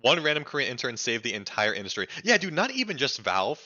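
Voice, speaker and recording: A young man streaming live, reacting to a documentary in an excitable, sweary way with lots of "like". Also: mid-range voice, quick pace, lo-fi sound. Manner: excitable, swears freely, fills speech with "like".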